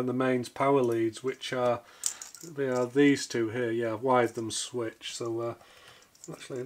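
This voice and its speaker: A middle-aged man with a croaky voice from a cold, chatting casually as he repairs gear at his workbench. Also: mid-range voice, slight background noise. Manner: chatty, thinking aloud while working. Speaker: repair video host. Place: home workshop bench.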